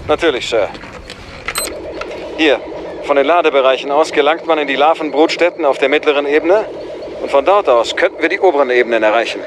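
A man plays a disciplined clone soldier in a sci-fi audio drama. His voice is fairly high, clipped and respectful, set in clear battle noise. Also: brisk, pauses often, close to an everyday voice. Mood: matter-of-fact. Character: disciplined male clone commander, brisk soldier. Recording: loud battle ambience.

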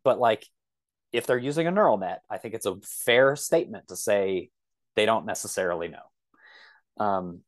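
A man with a fairly low voice speaks as a guest on a podcast. He explains in an informal, conversational way, often thinking aloud and feeling for the right word. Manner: thinks aloud, searching for words. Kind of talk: casual explanatory podcast talk.

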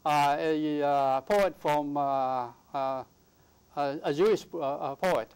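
An older man whose first language is Mandarin, a poet guest in a TV studio interview, talking in a halting way with many fillers and restarts on a lapel mic. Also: fairly high pitch, darkish tone, clear, resonant tone. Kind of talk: interview conversation. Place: TV studio, seated.

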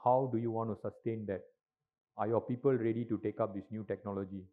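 Guy speaking on a conference stage into a handheld mic, kinda low voice, sounds thin and phone-like. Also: fairly even intonation, fast. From Malaysia. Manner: filler-heavy, frequent tag questions. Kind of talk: presentation talk. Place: large conference hall stage. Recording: tinny, lo-fi hall recording.

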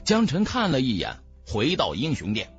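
A man narrating an audiobook in a dramatic, theatrical, expressive storyteller's manner. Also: medium pitch, normal speed, breathy edge, highs cut off, soft background music.

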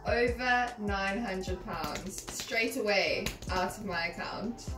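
A woman's voice: mid-range voice, slow pace, roomy sound, music in the background, low-quality recording. A woman, the vlogger's housemate, chiming in off camera with dry, teasing remarks during a casual chat.

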